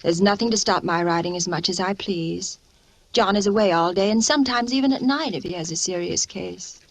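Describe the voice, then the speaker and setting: An actress playing a nervous, fragile young wife sinking into madness, intense and confiding in the style of old American radio suspense. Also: female voice, on the low side, a little fast, rough recording. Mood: weary, brooding. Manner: intense, anguished, confiding, dramatic. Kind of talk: 1940s-50s American radio suspense acting.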